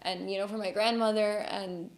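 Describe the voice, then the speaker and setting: A woman's voice: medium pitch, fairly even intonation, normal speed. A woman from Cuba, a native Spanish speaker, hosting a casual conversational podcast in an earnest, emphatic way. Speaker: podcast host.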